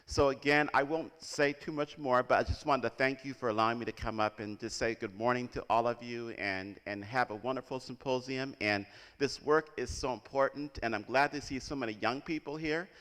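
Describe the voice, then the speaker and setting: A man's voice: mid-range voice, a little fast, few pauses. A man giving brief, encouraging welcome remarks at the opening of a conference. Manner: warm, encouraging. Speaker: welcoming official. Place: conference hall. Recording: long hall reverb.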